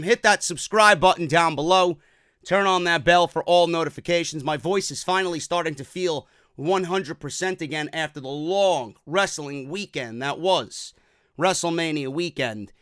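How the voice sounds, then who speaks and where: A man hosting a wrestling news show from his office, talking in an energetic, casual way and swearing now and then. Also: a little high, smooth, no echo, clean studio sound. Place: office at a desk.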